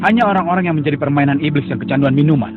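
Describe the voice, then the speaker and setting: A man's voice: a little high, racing, close to an everyday voice. A man voicing an earnest young male prophet who pleads with and admonishes idol worshippers in a dubbed cartoon. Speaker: voice actor. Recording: dull lo-fi audio with music.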